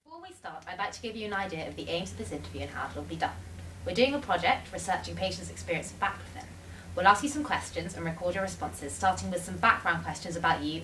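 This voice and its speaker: A woman's voice: fairly low pitch, a bit bright, natural voice. A woman playing a polite, formal researcher who methodically interviews a patient in a dramatised study. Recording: roomy, distant mic with faint noise.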